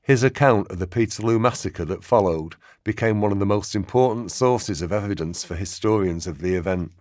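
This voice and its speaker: A man's voice: on the low side, a little fast, a little mellow, no room sound, clean studio sound. A man narrating a historical radio play in a scripted documentary style.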